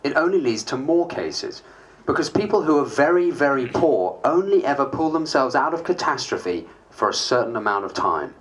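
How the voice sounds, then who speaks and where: A man's voice: medium pitch, big pitch swings, moderate pace, close to an everyday voice. A man playing a harried, self-mocking MP, narrating a dramatised diary in a dry, wry way. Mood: rueful. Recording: faint background noise.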